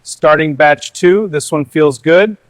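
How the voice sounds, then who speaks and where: A man's voice: on the high side, takes it slowly, no room sound, faint music behind. A man delivering brief scripted lines as a factory worker.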